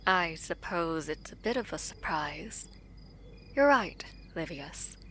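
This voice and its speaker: Woman playing a slow, low-toned, guarded regal princess. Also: animated, a bit bright, a little hiss or hum. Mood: defensive, cool. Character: guarded, regal princess, a proud and protective sister. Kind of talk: romantic fantasy audio drama.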